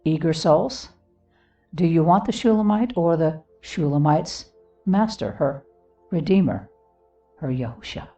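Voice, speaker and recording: Low-pitched, mellow woman reading devotionally. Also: lively intonation, a little slow, long pauses, distant mic, muffled recording. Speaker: audiobook reader. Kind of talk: expressive devotional book reading. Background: music.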